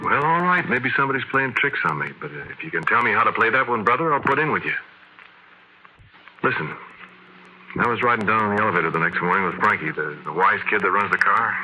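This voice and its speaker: An actor playing a shaken, haunted man who confides a ghostly encounter in halting, emphatic phrases, in the style of old-time radio horror. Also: on the low side, lively intonation, bright, forceful tone, a little hiss or hum, low-quality recording. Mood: insistent. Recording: vintage radio recording.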